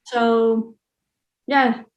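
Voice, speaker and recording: A woman's voice: fairly high pitch, level tone, very slow, echoey room, highs cut off. A woman from the Netherlands with a Dutch accent, answering interview questions in a casual, thoughtful, hesitant way. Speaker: interview guest, solo traveller.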